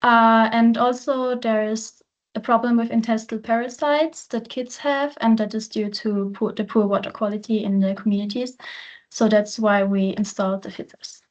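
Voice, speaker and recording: A woman's voice: on the high side, fairly even intonation, normal speed. Austrian woman presenting over a muffled call. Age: 20s. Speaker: student intern presenter. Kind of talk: online presentation. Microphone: video call. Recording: muffled lo-fi video call audio.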